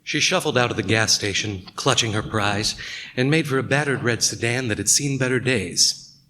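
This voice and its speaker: A man's voice: medium pitch, normal speed, sharp tone, a little hiss or hum, lightly acted, poor audio. An actor playing a world-weary, sardonic male narrator, delivering scripted noir narration in a radio play. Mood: wry, brooding.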